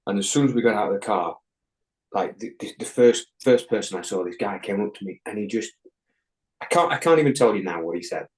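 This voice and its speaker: A man's voice: lowish voice, expressive, fairly quick, low-quality recording. A man from England, a podcast guest, telling a rambling anecdote in casual chat, swearing constantly and restarting his sentences with ums and likes.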